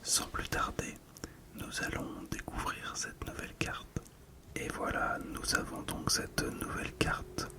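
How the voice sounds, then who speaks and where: A middle-aged ASMR host talking through a collectible in a calm, gentle, hesitant way, close to the microphone. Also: a little slow. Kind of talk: whispered ASMR commentary.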